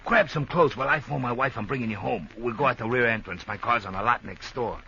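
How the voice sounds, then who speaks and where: An American actor playing a protective, plain-spoken nightclub boss in a 1950s radio drama. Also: male voice, mid-range voice, quick pace, pushed and bright, breathy edge, exaggerated voice. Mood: helpful, brisk. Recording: muffled, faintly noisy old radio recording.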